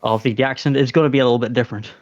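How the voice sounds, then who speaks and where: A man with a Northern Irish accent chatting quickly and casually on a voice call. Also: medium pitch, fairly even intonation, highs cut off. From northern Ireland. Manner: fast talking, chatty. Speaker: community member. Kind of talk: casual voice chat.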